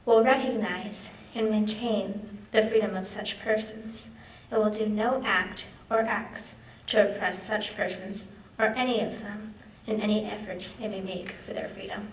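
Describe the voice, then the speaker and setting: A woman's voice: medium pitch, steady tone, a little slow. A young woman, a student, reading a formal document aloud. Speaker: student reader. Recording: echoey hall, distant mic, faint noise.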